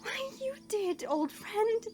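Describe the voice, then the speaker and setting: A woman's voice: extremely high pitch, animated, slow pace, music in the background, exaggerated voice. A woman playing a proud, determined dragon princess cursed into human form in a fantasy audio drama, earnest and regal. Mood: familiar, confident. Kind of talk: fantasy audio roleplay acting.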